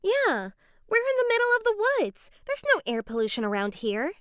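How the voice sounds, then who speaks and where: Animated woman reading a children's story. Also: extremely high pitch, normal speed, no room sound, studio quality. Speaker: children's book reader. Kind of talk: dramatized read-aloud of a children's educational story.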